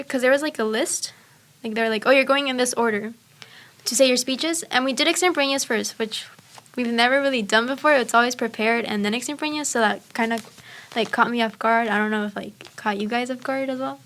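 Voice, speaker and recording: Chatty teenage girl, higher voice. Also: fairly quick, a bit bright. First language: Spanish. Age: teens. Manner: chatty, many fillers. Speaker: student speech competitor. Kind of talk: casual chat.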